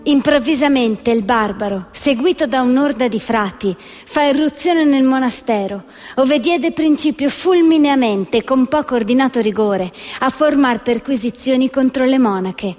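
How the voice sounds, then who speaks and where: A woman's voice: sits high, moderate pace, darkish tone, long reverb tail, faint music behind. A woman narrating a scripted satirical stage piece with emphatic, theatrical storytelling delivery.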